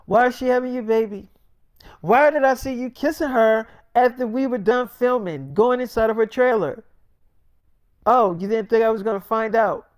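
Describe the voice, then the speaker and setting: An angry, betrayed young woman acted out by a man telling a story, pitched up from his usual talk. She accuses and swears, repeating her words for emphasis. The sound is dry and close. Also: steady tone, a little fast, darkish tone, clean tone, no echo. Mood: hurt, accusing.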